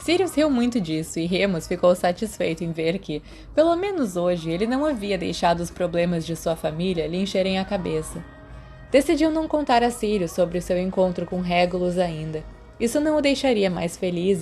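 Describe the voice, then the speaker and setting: A woman's voice: fairly low pitch, animated, a little fast, warm tone, soft background music. A woman with a Brazilian accent reading scripted fiction as an audiobook narrator. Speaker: podfic narrator. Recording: noticeable background noise.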